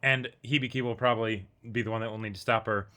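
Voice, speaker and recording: A man's voice: lowish voice, steady tone, fast talker, bright, forceful tone. A man co-hosting a casual anime reaction chat, rambling along with sarcastic asides. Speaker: co-host of a reaction show.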